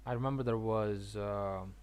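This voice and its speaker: A man from Argentina chatting casually on a game stream, haltingly thinking aloud and repeating words. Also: on the low side, noisy background. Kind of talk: casual rambling stream chat about games.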